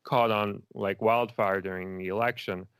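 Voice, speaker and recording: A man's voice: on the low side, fairly even intonation, moderate pace, fairly bright tone, smooth, no echo. A middle-aged man giving casual, reflective interview answers, feeling his way through his thoughts as he talks. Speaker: interview guest, filmmaker.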